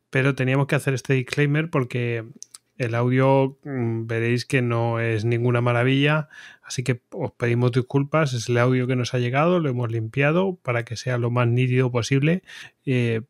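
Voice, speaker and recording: A man hosting a podcast, introducing a recorded talk in a relaxed, apologetic way with fillers. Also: medium pitch, dry recording, studio quality. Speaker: podcast host.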